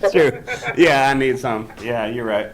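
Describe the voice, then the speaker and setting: A man's voice: medium pitch, measured pace, a crowd in the background. A man co-hosting a live stream in casual chat, joking and playful.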